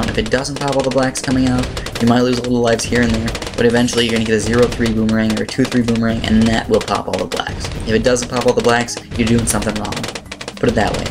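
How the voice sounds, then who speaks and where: A man with a slightly raspy voice giving casual, rambling game commentary as he explains strategy. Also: mid-range voice, background noise. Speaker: gaming video host. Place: voice-over on screen-recorded gameplay. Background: music.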